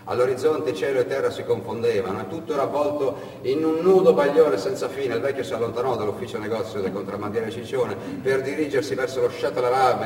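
A man narrating a dramatic monologue as a stage storyteller, in theatrical, repeated phrases with pauses. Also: medium pitch. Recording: echoey hall, distant mic, background noise.

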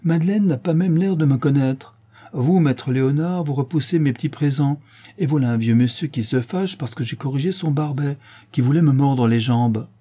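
A man's voice: medium pitch, animated, normal speed, mellow, slightly rough. A man voicing a blunt, brash young river boatman. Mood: aggrieved.

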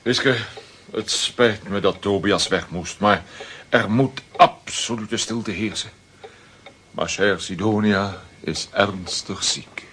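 A man's voice: on the low side, takes it slowly, a bit bright, a little hiss or hum. An actor playing a stubborn, pompous middle-aged man in a radio play, blustering and bossy. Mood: somber.

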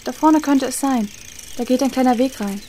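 Eine Frau spielt in einem Hörspiel eine selbstbewusste, praktisch denkende jugendliche Internatsschülerin, sachlich im Ton. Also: female voice, high voice, moderate pace, mellow, a little hiss or hum, close to an everyday voice. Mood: businesslike. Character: self-assured, practical teenage boarding-school girl. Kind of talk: scripted audio drama acting.

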